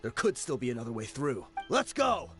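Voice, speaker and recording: A man's voice: mid-range voice, sing-song, a little fast, fairly bright tone, faint music behind. A man voicing an eager, loyal young mercenary in a video game. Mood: urgent, determined.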